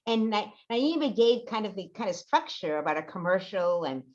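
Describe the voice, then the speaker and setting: A woman's voice: medium pitch, very animated, moderate pace, highs cut off. An older woman chatting casually as a participant in a group discussion. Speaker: workshop participant and playwright.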